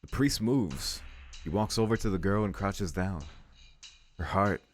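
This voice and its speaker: A man's voice: low-pitched, a little slow, a little hiss or hum. A man reading a visual novel aloud as the host of a let's play.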